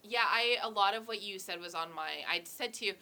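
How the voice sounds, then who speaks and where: A woman's voice: medium pitch, a little fast, pushed and bright. A young woman co-hosting a casual chat, stuffy from a cold, speaking bluntly and swearing freely. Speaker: co-host.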